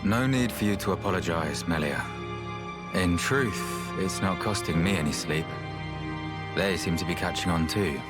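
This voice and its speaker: An actor plays a weary, level-headed researcher in a game. His voice is low and understated, thoughtful and pragmatic, over a clearly audible music track. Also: normal speed, close to an everyday voice. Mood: sober, resigned. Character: calm, measured male researcher, weary and pragmatic elder. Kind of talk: video game voice acting.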